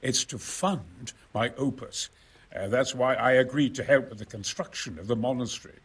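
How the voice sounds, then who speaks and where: An actor playing a genial, self-important exiled engineer in a video game, talking in a wry, hesitant, gentlemanly way. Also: male voice, medium pitch, normal speed, husky. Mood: wry, explanatory. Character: genial, erudite exiled male engineer, self-important about his opus. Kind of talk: video game cutscene acting.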